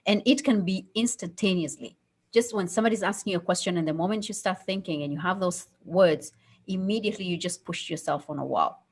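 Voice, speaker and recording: A woman's voice: fairly low pitch, brisk, darkish tone, husky. Earnest woman with a Somali accent. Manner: earnest, candid storytelling with frequent "okay?". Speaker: radio co-host. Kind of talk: casual radio chat.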